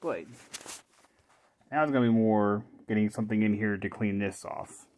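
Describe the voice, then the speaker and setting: A man's voice: lowish voice, moderate pace, a little mellow, slight background noise. A man giving casual, unscripted commentary as a hobbyist repairer, thinking aloud to himself as he works. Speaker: hobbyist repairer presenting a video.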